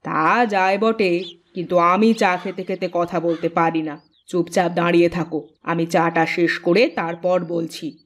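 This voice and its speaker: A woman voicing an older, bossy but indulgent mother-in-law in a fairy-tale cartoon, with a commanding, deliberate manner. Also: on the low side, fairly even intonation. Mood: stern, bossy. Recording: music behind the voice.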